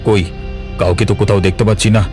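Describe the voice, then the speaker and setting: Cartoon village boss, male actor, guilty and suspicious, deepish voice, stammering challenges over clear background music. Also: level tone, quick pace, darkish tone, close to an everyday voice. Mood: startled, suspicious. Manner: stammering, demanding, repeats questions. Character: guilty, suspicious village master, jumpy yet defiant. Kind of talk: animated horror story acting.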